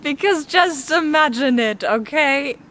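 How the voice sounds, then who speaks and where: A woman's voice: sits high, expressive, a little slow, a bit bright. A woman hosting an educational video, reading scripted narration with casual asides in a playful, lightly theatrical way. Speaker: video host presenting an educational explainer. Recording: noticeable background noise.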